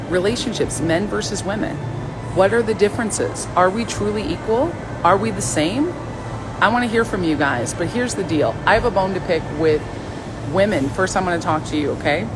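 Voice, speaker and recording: A woman with a raspy voice hosting a live stream, chatting casually and reading viewer comments in a blunt, outspoken, playful way. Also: medium pitch, expressive, fairly quick, noisy background.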